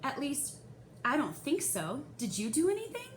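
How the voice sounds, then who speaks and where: A woman voicing a small, quiet, anxious schoolboy who sounds unsure and defensive in a storybook reading. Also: high voice, wildly swooping pitch, moderate pace, voice pushed up. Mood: worried, unsure. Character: small, quiet, anxious fifth-grade boy, a daydreamer. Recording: echoey room with faint hiss.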